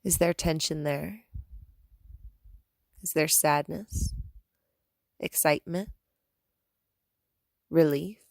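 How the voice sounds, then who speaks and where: A woman guiding a meditation as a yoga teacher, speaking in a calm, soothing manner with gentle instructions and long pauses. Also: sits low, gravelly, no echo, studio quality. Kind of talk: guided meditation.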